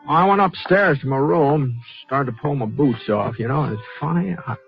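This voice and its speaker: An actor with an American accent playing an easygoing, courteous cowboy gunfighter in a radio western, with a folksy, hesitant, stammering delivery. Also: male voice, medium pitch, moderate pace, creaky, faint music behind, close to an everyday voice. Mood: weary, narrating. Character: easygoing, soft-hearted drifting cowboy gunfighter, courteous to ladies. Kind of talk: old-time radio western drama acting. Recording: muffled, thin vintage radio recording.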